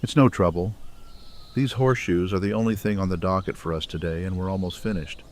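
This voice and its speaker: A man's voice: sits low, steady tone, brisk, close to an everyday voice. A man playing an obliging, laid-back blacksmith in an audio drama, speaking in a plain, folksy way. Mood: amiable. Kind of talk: fan audio drama acting.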